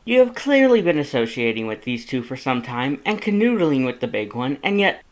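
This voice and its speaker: A man voicing a loud, fussy skeleton who is the younger brother, scolding emphatically in a fan fiction audiobook reading. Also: mid-range voice, sing-song, normal speed, voice pushed up, natural voice. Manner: scolding, emphatic, rising indignation.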